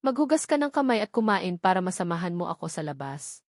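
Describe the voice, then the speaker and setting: A woman's voice: on the low side, very animated, fast talker, dry recording, clean studio sound. A Filipino woman narrator playing a kind, confident young mistress of a mansion, friendly and casually commanding. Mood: friendly, decisive.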